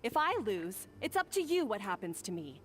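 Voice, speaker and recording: A woman's voice: medium pitch, very animated, moderate pace, fairly bright tone. An actress playing a confident young female rival chef in a game, who taunts and challenges her opponent. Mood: taunting. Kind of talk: game voice acting.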